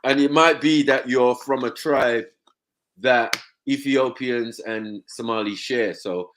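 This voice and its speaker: A man's voice: mid-range voice, slow pace, a bit bright, a little hiss or hum, poor audio. Teasing man on a stream. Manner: teasing, laid-back. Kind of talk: casual panel chat.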